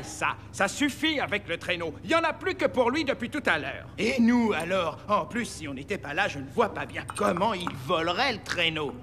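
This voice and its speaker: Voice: mid-range voice, fairly bright tone. An actor voicing a grumbling, bossy talking reindeer in a French children's cartoon. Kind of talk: French animated series dialogue. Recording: long reverb, music behind.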